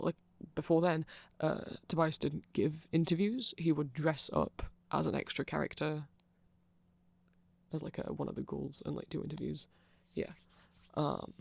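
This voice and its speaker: A performer voicing a nerdy vampire in a casual ASMR roleplay, explaining things in a hesitant, digressive, conversational way with a creaky voice. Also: medium pitch, darkish tone, no echo. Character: nerdy rambling vampire, eager fan explaining band lore.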